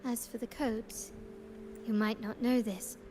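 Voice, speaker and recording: An actress playing a composed, shrewd young heiress in a video game, speaking in a measured, formal way. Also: female voice, fairly high pitch, fairly even intonation, noisy background, lightly acted. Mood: composed, brisk.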